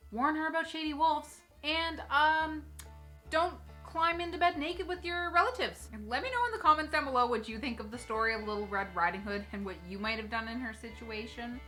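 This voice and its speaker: Bright, chatty woman storyteller. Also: high voice. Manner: chatty, wry asides. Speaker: host, storyteller. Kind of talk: casual comedic fairy-tale retelling to viewers. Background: faint music.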